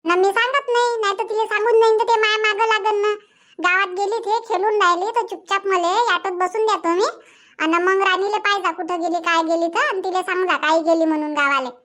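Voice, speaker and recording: A woman's voice: extremely high pitch, steady tone, fairly quick, a bit bright. A woman voicing a stubborn, grumbling young village mother in a cartoon comedy, chattering emphatically with a Vidarbha Marathi accent.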